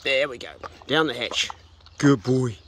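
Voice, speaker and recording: A man's voice: mid-range voice, measured pace. A middle-aged man with a New Zealand accent and an ordinary mid-range voice talks in an easy, practical way. He coaxes his dog with "good boy" and "mate" in between plain explanations. He is recorded outdoors on a body-worn action camera, with faint background noise. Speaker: homesteading vlogger. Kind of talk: casual explanatory vlog, talking to his dog. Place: outdoors on a rural homestead and in bush.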